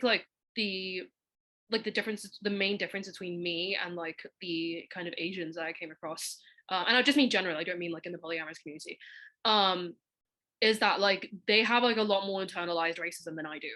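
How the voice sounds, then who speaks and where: Bright young woman, chatty and rambling. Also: fairly low pitch, a little fast, rough recording. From Hong Kong. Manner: chatty, fills with "like" and "you know". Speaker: podcast host. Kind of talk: casual conversation.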